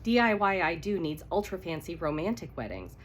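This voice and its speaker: A woman's voice: on the low side, big pitch swings, a little fast, a little hiss or hum. A woman with a cold voicing an anxious, stubborn jilted bride in a romance novel reading. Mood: frustrated. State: congested with a cold.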